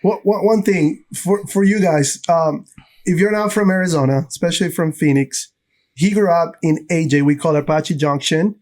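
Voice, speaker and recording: A man's voice: on the high side, normal speed, darkish tone. A man from Ecuador hosting a podcast in an enthusiastic, informal chat, addressing both his guest and the audience. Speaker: podcast host.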